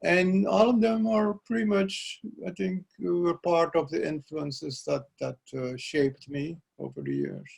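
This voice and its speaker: An older man whose first language is Dutch, a poet being interviewed, speaking reflectively and pausing often to search for words. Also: fairly high pitch, creaky, a little mellow, slightly rough, rough recording. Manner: halting, searching for words, frequent restarts. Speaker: poet guest.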